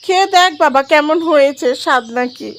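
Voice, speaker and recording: A woman's voice: high voice, a little fast, a little hiss or hum. A woman playing a cruel, scheming stepmother in a cartoon, commanding and wheedling. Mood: scolding, doting. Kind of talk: cartoon story voice acting.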